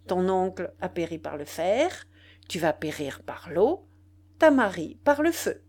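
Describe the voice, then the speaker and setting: A woman's voice: on the low side, very animated, a little slow, darkish tone, full character voice. A woman voicing a vengeful, gloating male dwarf sorcerer in an audiobook, with theatrical, commanding emphasis. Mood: gloating, menacing. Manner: theatrical, emphatic, cursing with Spanish words mixed in.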